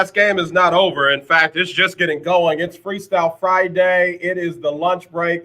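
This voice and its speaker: A man's voice: fairly high pitch, sharp tone. A man hosting a casual sports talk radio show, teasing listeners with rhetorical questions. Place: radio studio.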